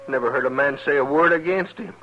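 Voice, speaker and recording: A man's voice: on the high side, fast, slight background noise, natural voice. An actor playing a grieving, hot-tempered frontier man with a rural American western accent in a radio drama, folksy and insistent. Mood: stunned grief. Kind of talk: 1950s radio acting. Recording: muffled old radio recording.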